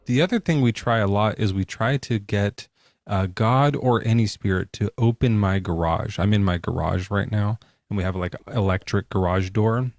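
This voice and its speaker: A man's voice: lowish voice, moderate pace, a little mellow, no echo, clean studio sound. A male podcast host talking casually from his garage in a jokey, loose way, with plenty of swearing. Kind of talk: casual debate chat. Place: home garage.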